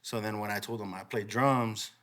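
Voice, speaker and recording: A man's voice: lowish voice, fairly even intonation, normal speed, fairly bright tone. A young man who grew up partly in El Salvador, chatting casually as a guest musician and often saying "bro.". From California, childhood in El Salvador. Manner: laid-back, says "bro" a lot, laughs easily. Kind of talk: casual podcast chat.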